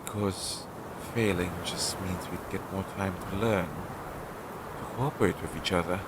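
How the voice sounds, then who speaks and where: A man playing an anxious, insecure but tender crow familiar in an audio roleplay, talking to the listener in a hesitant, earnest way. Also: measured pace. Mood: worried. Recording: clearly noisy low-quality recording.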